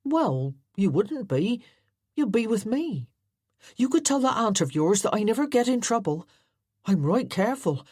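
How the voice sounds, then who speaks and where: A woman's voice: mid-range voice, very animated, a little slow, a little mellow, breathy edge, dry recording, clean studio sound, voice pushed up. A woman doing a blunt country lad. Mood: easygoing. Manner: blunt and laconic. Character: plain-spoken, easygoing country lad.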